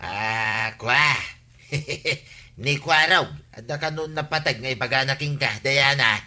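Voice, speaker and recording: An actor playing a meddling, cheeky older neighbor in an Ilocano comedy radio drama, hemming and coaxing in an Ilocano accent. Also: male voice, mid-range voice, slow, bright, forceful tone. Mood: sly, friendly.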